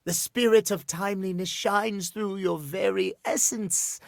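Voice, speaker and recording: A man's voice: high-pitched, steady tone, unhurried, creaky, dry recording, clean studio sound. An actor voicing a fussy, prim male clock obsessed with schedules, speaking formally and precisely in a theatrical, old-fashioned way for a video game. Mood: cheerful, courteous. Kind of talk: video game character acting.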